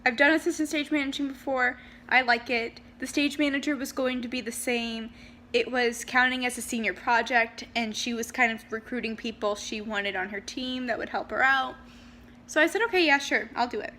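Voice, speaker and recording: A young woman talks straight to her camera in a casual, chatty way, with lots of ums and sudden bursts of speed. Her voice sits a little high and rings bright and clear. It sounds like a home video recording. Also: steady tone, normal speed, slight background noise. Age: young adult. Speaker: vlogger. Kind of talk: casual personal storytelling. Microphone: handheld camera.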